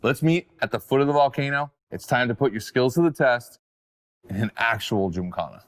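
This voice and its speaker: A man's voice: mid-range voice, animated, fairly quick, lightly acted. An actor playing a playful, cheeky stunt-driving host in a video game, talking casually and enthusiastically as he coaches. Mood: upbeat briefing. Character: hyped, playful male stunt-driving event host, devoted car lover. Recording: loud music behind. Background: loud music, car engines.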